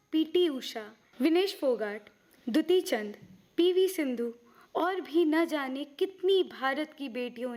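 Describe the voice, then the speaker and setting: A woman with an Indian accent reciting dramatically in a measured, declamatory way. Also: high voice. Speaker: performer reciting at a poetry dramatisation event.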